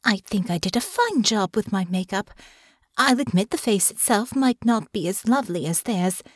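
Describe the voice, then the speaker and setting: A woman voicing an anxious, wounded young noblewoman, high and plaintive. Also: very animated, moderate pace, no echo. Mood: indignant. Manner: plaintive, rising, tearful pleading.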